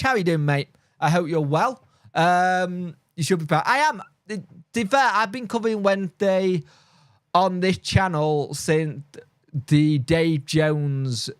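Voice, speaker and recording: A man hosts a live stream from home, his voice a little high for a man and clean, recorded close and dry. He rambles bluntly through viewer comments with a mild speech impediment, plenty of fillers and restarts, and he sounds tired. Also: smooth, dry recording. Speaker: football fan channel host.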